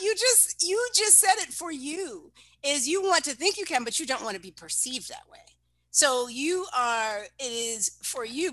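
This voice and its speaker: A woman chatting casually, animated and playful, teasing her co-host and laughing often. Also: high-pitched, no room sound. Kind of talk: casual chat.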